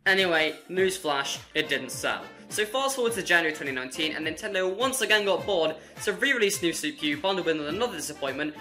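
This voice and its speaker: Bright-voiced sarcastic young man. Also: fairly high pitch. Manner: sarcastic, mocking. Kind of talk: scripted comedic game review.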